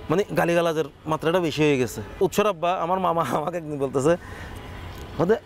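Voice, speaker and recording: A man's voice: a little high, fairly quick, gravelly, slight background noise. A young man with a Chattogram accent, a comedy content creator giving casual interview answers. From Chattogram, Bangladesh.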